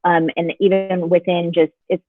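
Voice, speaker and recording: A man from California talks through his ideas on a video call, hesitant and full of fillers. His voice sits a little high and sounds thin and phone-like. The sound is dry, with no room around it. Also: level tone, normal speed, dry recording. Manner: hesitant, thinking aloud with many fillers. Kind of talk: discussion forum on a call. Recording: tinny phone-like call audio.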